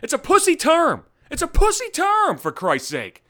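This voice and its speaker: A man hosting his own podcast, ranting loudly with heavy swearing after just getting over a cold. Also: extremely high pitch, huge pitch swings, normal speed, no room sound, studio quality. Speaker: podcast host. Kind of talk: profane comedic rant.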